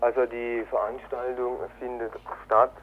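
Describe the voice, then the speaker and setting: A man interviewed as an activist, explaining earnestly and haltingly over a noisy, tinny phone-like line. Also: medium pitch, level tone. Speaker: political activist being interviewed. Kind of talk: spontaneous spoken interview.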